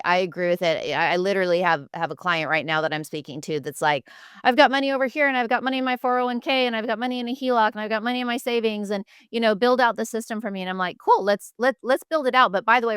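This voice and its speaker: A woman's voice: medium pitch, fast talker, fairly bright tone, smooth, no room sound, clean studio sound. A woman hosting a conversational interview, speaking casually and emphatically. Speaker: podcast host, real estate advisor.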